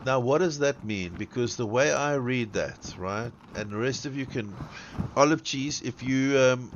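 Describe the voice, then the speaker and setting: A man's voice: medium pitch, unhurried. Swearing South African man streaming. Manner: rambling and crude, swears freely. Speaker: live streamer. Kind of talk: casual live-stream chat while gaming. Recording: dull lo-fi with background noise.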